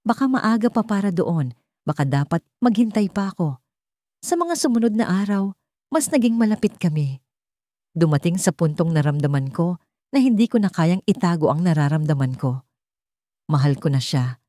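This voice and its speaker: A woman's voice: fairly low pitch, lively intonation, moderate pace, long pauses, warm tone, dry recording, clean studio sound. A woman narrating a scripted first-person story as a storyteller.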